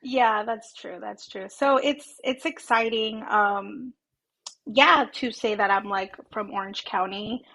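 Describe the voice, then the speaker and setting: A woman's voice: mid-range voice, slow, gravelly. A woman in her twenties from Southern California, a podcast guest talking casually with many ums and a shy laugh. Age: 20s. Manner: hesitant, lots of ums and likes, soft laughs. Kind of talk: casual interview chat.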